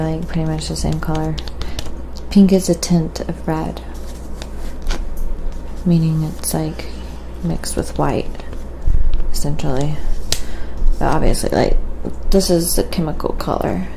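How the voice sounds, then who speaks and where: Quiet asmr painter lady, soft n whispery at times, lots of vocal fry, noisy background. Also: low-pitched, steady tone, a little slow. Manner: soft-spoken, sometimes dropping to a whisper. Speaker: live-streaming painter. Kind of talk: quiet ASMR painting tutorial with casual chat.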